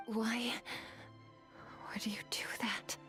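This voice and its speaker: A woman's voice: on the high side, flat delivery, extremely slow, bright, forceful tone, soft background music, heavily acted. A woman playing a young, hesitant female disciple in a video game, questioning her harsh master. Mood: hurt, uncertain.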